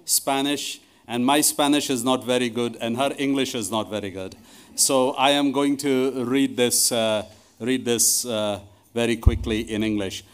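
Middle aged guy talking to church from the podium, smooth clear voice, medium pitch. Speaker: church speaker. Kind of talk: addressing a congregation, reading a testimony aloud. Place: large church hall, indoors. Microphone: lectern microphone.

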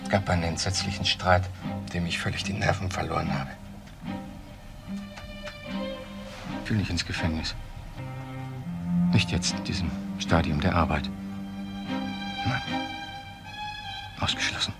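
Low voiced professor in a crime radio play, desperate and insistent, music behind him. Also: male voice, fairly even intonation, moderate pace, gravelly, long pauses. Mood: shaken confession. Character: desperate, controlling professor who confesses to killing his wife.

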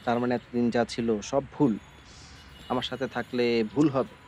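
A man's voice: medium pitch, little pitch movement, normal speed, a little mellow, a little hiss or hum, lightly acted. An actor playing a devoted, impulsive young man in love, emotional and insistent, with a Bangladeshi accent. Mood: hurt, insistent. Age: young adult. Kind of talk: naturalistic short-film dialogue.

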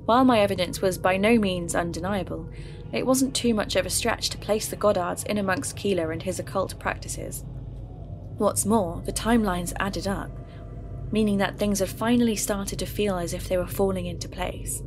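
A woman narrating her own investigation in first person for an audio drama podcast, in a measured, reflective storytelling manner. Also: medium pitch. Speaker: narrator and host. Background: background music.

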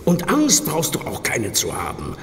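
An actor playing a patient man who gently instructs a nativity play rehearsal in an audio drama. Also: mid-range voice, huge pitch swings, unhurried, full character voice. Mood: patient, instructive. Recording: long echo of a large hall.

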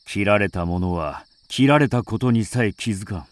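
An actor playing a mysterious, fearless undead avenger in a radio drama, speaking in a grim, theatrical, archaic manner. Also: male voice, fairly low pitch, normal speed, no echo, lightly acted. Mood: grave, resolute. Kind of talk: Japanese radio drama acting.

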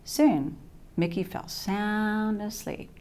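An older woman reading a children's bedtime story aloud in an expressive, deliberate way, with dramatic emphasis. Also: mid-range voice, a little hiss or hum. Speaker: storybook reader, childcare coordinator. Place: small indoor set with curtain backdrop.

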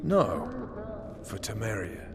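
An actor playing a stern, cold special forces commander in a video game, with an authoritative, formal, clipped delivery. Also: male voice, on the low side, very animated, slow, heavily acted. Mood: cold, controlled. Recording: echoey stone hall, background noise.

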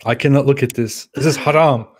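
A man's voice: medium pitch, fairly quick, a little hiss or hum. Young man with playfully pious manner. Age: young adult. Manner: mock-pious, hesitant repetition. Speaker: guest on a livestream. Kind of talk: casual joking livestream chat. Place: small room at home, video call.

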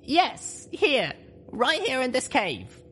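A man's voice: very high voice, wildly swooping pitch, takes it slowly, sharp tone, a little hiss or hum, pitched above the performer's own voice, full character voice. A man voicing a small, determined female pony leader in a storybook, speaking firmly and urgently. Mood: firm, resolute.

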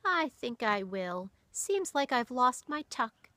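A woman's voice: high-pitched, sing-song, measured pace, sharp tone, no room sound, pitched above the performer's own voice, exaggerated voice. A woman voicing an elderly grandmother who speaks in old sailor's sayings. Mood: weary. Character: elderly grandmother, salty seafaring talk.

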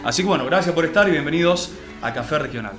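A man's voice: fairly high pitch, lively intonation, fast talker. A man with an Argentine accent presenting a TV talk show informally. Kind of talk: informal programme introduction. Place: small TV studio. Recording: loud music behind.

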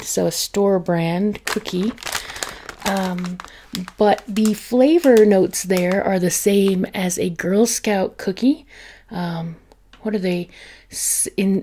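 A woman in her thirties from the United States, chatting softly in an ASMR grocery haul in her home kitchen. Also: medium pitch, slight background noise. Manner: soft-spoken, chatty, laughs often. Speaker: ASMR creator.

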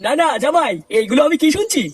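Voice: high voice, sing-song, quick pace, no echo, distant mic. A performer voicing an overbearing older father-in-law who lectures reproachfully in a comedy cartoon.

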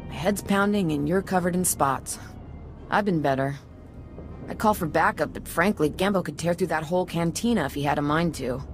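A woman's voice: fairly low pitch, moderate pace, soft background music, lightly acted. A woman playing a wry, battered police officer in a video game, sounding weary and in pain. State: pained, woozy.

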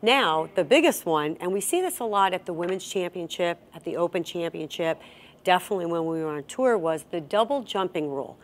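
A woman's voice: fairly low pitch, animated, a little fast. Middle-aged woman hosting a bowling lesson. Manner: explains step by step, asks guiding questions. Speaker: bowling instructor and host. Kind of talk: instructional chat. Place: bowling center, seated at a table.

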